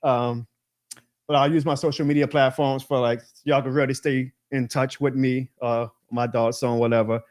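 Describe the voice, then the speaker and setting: A man's voice: medium pitch, level tone, moderate pace, a little mellow. A man in his thirties hosting a live sports talk stream, ranting with energy and laughing often. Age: 30s. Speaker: show host.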